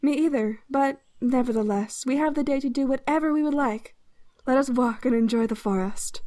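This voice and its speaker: Mellow, high-voiced druid noblewoman, played by an actress, formal and gracious, fantasy audio drama. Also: normal speed, no echo, lightly acted. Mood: composed. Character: composed noble druid lady, caring, exasperated sister.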